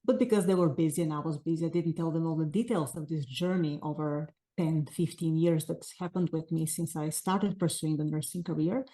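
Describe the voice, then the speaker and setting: A woman's voice: sits low, fairly even intonation, fairly quick, few pauses, mellow, poor audio. A woman in her forties from Bulgaria, speaking with a Bulgarian accent as an author answering interview questions in earnest, flowing sentences. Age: 40s. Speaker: author guest.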